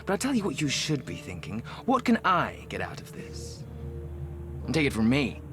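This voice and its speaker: Wry, theatrical, swooping ancient mummy. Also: male voice, medium pitch, huge pitch swings, normal speed. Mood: wry, languid. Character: jaded, vain, decadent ancient male mummy in expensive suit. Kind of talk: video game voice acting. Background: music.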